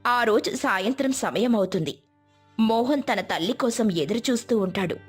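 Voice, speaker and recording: A woman's voice: on the high side, lively intonation, moderate pace, slightly rough, soft background music. A woman narrating a scripted moral story as a storyteller.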